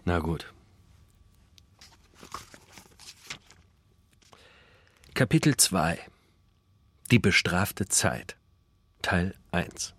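A man's voice: low-pitched, big pitch swings, slow pace, a bit bright, breathy edge, exaggerated voice. An actor playing a weary, scornful former gang leader who recounts his past in a sardonic radio play narration. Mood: resigned.

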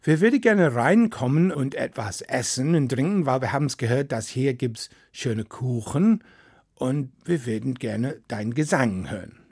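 A man's voice: mid-range voice, lively intonation, unhurried, darkish tone, husky, no room sound, clean studio sound. A man, a storyteller, voicing a group of friendly, polite strangers dressed in green asking to come in.

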